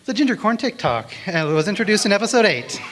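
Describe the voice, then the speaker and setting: A man's voice: sits high, big pitch swings, fast, fairly bright tone. A man speaking as a panelist introducing himself at a convention, in a large hall. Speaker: panelist, sound editor and voice actor. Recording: noisy convention hall microphone.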